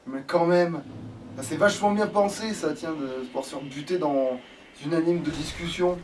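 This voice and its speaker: Higher-pitched man grumbling through a let's play. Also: moderate pace. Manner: sweary, sarcastic grumbling. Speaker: let's player. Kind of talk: casual gaming commentary. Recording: slightly distant mic with faint noise.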